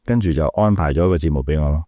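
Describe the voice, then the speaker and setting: A man's voice: a bass voice, rapid-fire, mellow, dry recording. A middle-aged man with a Malaysian Cantonese accent telling stories casually as an interview guest, acting out quotes and repeating words as he goes. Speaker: radio DJ and podcast guest.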